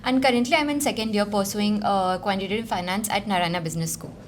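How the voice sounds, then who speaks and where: A woman's voice: mid-range voice, fast, a little hiss or hum. A young woman from India in her twenties, introducing herself as a student. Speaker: student guest.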